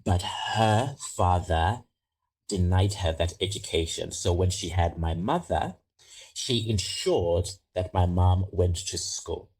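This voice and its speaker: A man from Zimbabwe with a very low, deep voice speaks unhurriedly, weighing each word. He sounds middle-aged and reflective as he answers an interviewer's questions. The pace stays slow and measured throughout. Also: lively intonation, a little mellow, breathy edge, rough recording. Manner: slow, thoughtful storytelling. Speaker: podcast guest.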